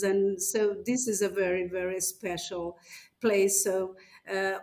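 A woman's voice: mid-range voice, darkish tone. A woman from northern Portugal with a Portuguese accent, answering as an interview guest and often searching for words.

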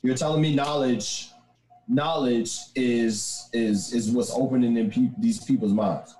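A man in a casual debate chat, arguing his points slowly and emphatically. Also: medium pitch, fairly even intonation, warm tone. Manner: argumentative, slow and emphatic when making a point. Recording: roomy echo.

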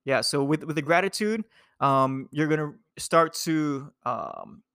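A man's voice: fairly high pitch, animated, a little slow, fairly bright tone, clean tone, dry recording, studio quality. A young man from Hawaii, a nutrition coach, talking casually to viewers in a laid-back, rambling way full of fillers and "dude".

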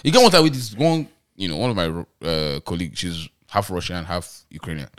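Animated Nigerian man telling stories. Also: low voice, a little fast. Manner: animated storytelling with Nigerian Pidgin, laughing often. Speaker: podcast co-host. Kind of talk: casual chat.

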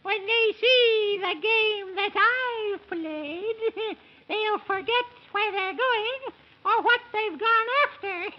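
Shrill, cackling old witch. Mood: wicked glee. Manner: chants in rhyme, drawn-out words. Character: cackling wicked old witch, gloating and scheming. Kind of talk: 1930s children's radio serial acting. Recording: muffled, noisy 1930s radio recording.

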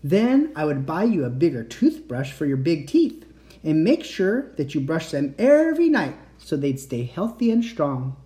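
A man doing a soft, doting mother. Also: on the high side, very animated, unhurried. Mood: tender. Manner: soothing, tender reassurance.

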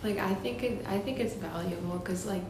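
A teenage female student giving a casual, halting answer in a discussion. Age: teens. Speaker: school student. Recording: very echoey, noisy rumbling room.

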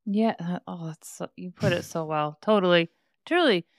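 A woman's voice: lowish voice, normal speed, dry recording, studio quality. A woman co-hosting a podcast, talking in a chatty, bubbly way with lots of fillers. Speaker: podcast co-host. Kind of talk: casual podcast chat.

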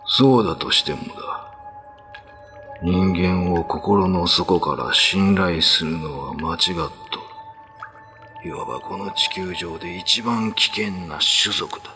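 A man's voice: low voice, slow, over music. A man voicing a grave, distrustful elder of shape-shifting cats in a radio ghost story, with solemn, archaic phrasing. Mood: grave warning.

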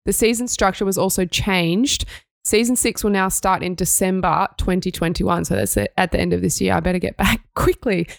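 Aussie woman on a sports podcast, low voice with gravelly fry, dry close sound. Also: fairly even intonation, normal speed, dry recording. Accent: Australian. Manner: upbeat, reads news items then chats. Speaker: podcast co-host. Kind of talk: sports news podcast reading and chat.

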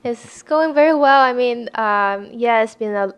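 A young woman from Hong Kong talks slowly and softly, stopping often to search for words. Her voice has a gravelly fry running through it. She is a piano contestant speaking casually in an interview. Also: on the high side, highs cut off. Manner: soft-spoken, halting, with many fillers.

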